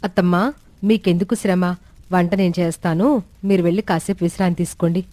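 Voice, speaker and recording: A woman's voice: on the low side, steady tone, fast talker, dark tone, dry recording, lightly acted. A woman voicing a dutiful, trusting young daughter-in-law in a family story. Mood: gentle, helpful.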